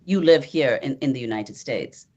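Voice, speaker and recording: A woman with a strikingly low voice hosts a discussion. She moderates in a measured broadcast style, setting up questions for guests. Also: animated, darkish tone, husky. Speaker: host, moderator.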